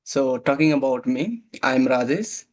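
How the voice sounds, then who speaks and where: A man's voice: medium pitch, animated, measured pace. Hesitant young man. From Nepal. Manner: hesitant, many "like" and "uh" fillers. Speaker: guest, waiter. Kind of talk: casual conversation practice.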